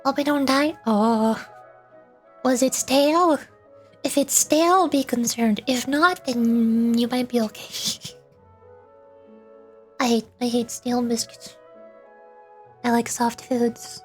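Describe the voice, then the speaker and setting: A woman's voice: a little high, steady tone, slow pace, long pauses, faint music behind. A woman livestreaming a game, chatting casually in a rambling way and stumbling as she reads dialogue aloud. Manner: rambling, stumbles over words while reading. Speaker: streamer, VTuber.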